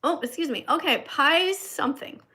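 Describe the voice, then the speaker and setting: A woman's voice: sits high, normal speed, sharp tone. A woman hosting a casual livestream, chatty as she reads viewer comments aloud. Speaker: livestream host.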